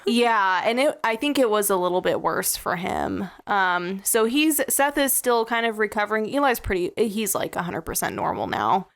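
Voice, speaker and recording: A woman's voice: medium pitch, lively intonation, moderate pace, gravelly, few pauses. Young woman cohost, laid back chatty vibe, lots of likes and ums. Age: young adult. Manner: easygoing, lots of fillers and short agreements. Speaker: podcast co-host. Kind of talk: casual chat.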